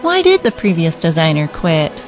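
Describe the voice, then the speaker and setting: A woman's voice: low voice, big pitch swings, normal speed, faint music behind. A woman playing an eager, confident designer in an audio drama, keen and assured. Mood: excited, confident.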